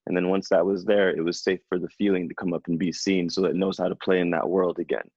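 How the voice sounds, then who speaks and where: A man in his thirties, a spiritual coach, giving a slow, soothing reading and then chatting casually with his client. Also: deep voice, level tone, darkish tone, slightly rough, dry recording. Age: 30s. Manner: slow, soothing, affirming delivery. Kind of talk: guided channeled reading, then casual chat. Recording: dull lo-fi sound.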